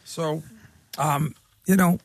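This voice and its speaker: A man co-hosting a casual radio chat, talking haltingly with lots of fillers and restarts. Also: a little high, fairly even intonation, no echo. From Irish heritage. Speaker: radio co-host.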